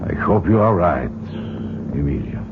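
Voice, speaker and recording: An actor playing a grave, worried male scholar in an old-time radio drama, with formal, deliberate phrasing. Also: rumbling low, animated, heavily acted. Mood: formal, concerned. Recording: noisy, phone-like old radio recording.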